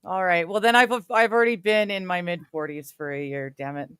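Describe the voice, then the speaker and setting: A woman's voice: lowish voice, fairly even intonation, fairly quick, a bit bright, clean tone, no room sound, studio quality. A woman in her forties chatting casually with friends on a stream, laughing often and poking fun at herself. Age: 40s. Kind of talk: casual chat.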